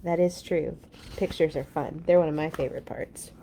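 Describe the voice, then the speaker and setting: A woman's voice: low voice, fairly even intonation, moderate pace, warm tone, slight background noise. A woman reading a picture book aloud to children as a storyteller, adding chatty asides of her own. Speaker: children's read-along storyteller.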